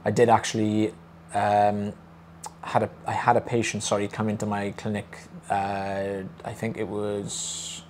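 A man's voice: fairly low pitch, monotone, unhurried, darkish tone, slight background noise. A young man with a broad, strong South Welsh accent, chatting casually and a little hesitantly as a co-presenting audiologist. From South Wales. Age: young adult. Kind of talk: casual chat.

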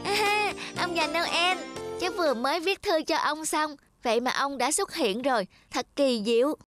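A woman's voice: high voice, moderate pace, lightly acted. A woman voicing a sweet six-year-old boy who speaks in an earnest, childlike way in a cartoon. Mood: eager. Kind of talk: cartoon voice acting. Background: music.

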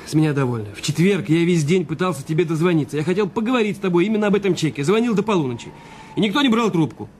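An actor in a radio drama playing a resentful husband, defensive and sardonic, given to brooding. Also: male voice, high voice, animated, very fast, a little mellow, slight background noise. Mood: defensive, irritated. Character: bitter resentful husband, a French masseur.

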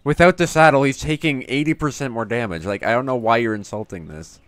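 A young man narrating his game story, then reacting excitedly and laughing in voice chat with his teammate. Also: medium pitch, quick pace, dry recording. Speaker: gaming YouTuber.

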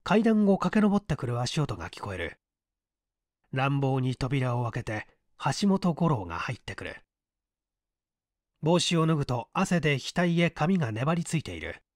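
A man's voice: mid-range voice, sing-song, a little slow, no room sound, clean studio sound. A man narrating mystery fiction from a script as an audiobook reader, in a dramatic manner.

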